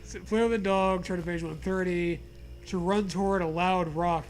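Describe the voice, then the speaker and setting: A man's voice: high-pitched, steady tone, moderate pace, soft background music, low-quality recording. A man co-hosting a comic gamebook reading, with playful, dry banter between narrated passages. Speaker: co-host reading a gamebook aloud.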